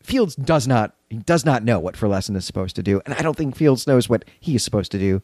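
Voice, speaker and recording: A man's voice: mid-range voice, expressive, a little fast, a little mellow, no room sound, clean studio sound. A man hosting a podcast, recapping and commenting on a story in a conversational, explanatory way, stressing key words. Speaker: podcast host.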